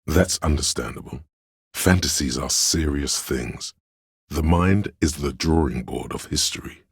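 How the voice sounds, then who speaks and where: A man voicing a pompous, opinionated inner voice of artistic imagination in a video game, narrating theatrically and deliberately in a very deep, gravelly, husky voice. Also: no echo, studio quality, exaggerated voice. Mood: musing, grand. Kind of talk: dramatic second-person game narration.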